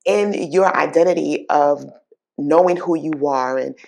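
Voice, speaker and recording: A woman from the Bronx with a strong Bronx accent, hosting a talk show interview and murmuring soft agreement while her guest speaks. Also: deep voice, unhurried, vocal fry, low-quality recording. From New York. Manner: frequent soft affirming murmurs. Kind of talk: casual interview chat.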